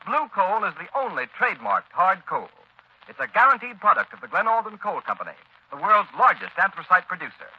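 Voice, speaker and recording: A man's voice: high-pitched, big pitch swings, a little fast, slightly rough, no echo. A man reading a commercial as an old-time radio announcer, emphatic and salesmanlike. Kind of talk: 1930s-40s radio advertising read. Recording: muffled old radio recording.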